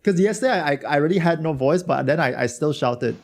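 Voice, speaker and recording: A man streaming a game with a hoarse voice from a cold, chatting loosely and slangily with his viewers. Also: a little high, expressive, fast. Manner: slangy, rambling, talks to chat. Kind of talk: casual livestream chat reacting to a game.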